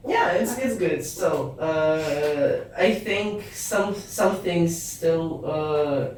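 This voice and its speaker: Chatty podcast host in an echoey room. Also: female voice, low voice, a little mellow, a little hiss or hum. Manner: halting, many fillers and restarts. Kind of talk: casual chat. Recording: echoey room, far from the mic.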